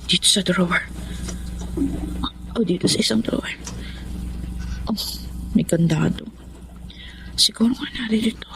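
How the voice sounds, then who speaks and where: A woman's voice: fairly low pitch, measured pace, pauses often, fairly bright tone, slight background noise, low-quality recording. An actress playing a remorseful, troubled mother and wife in a radio drama. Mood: tense, furtive. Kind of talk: Tagalog radio drama acting.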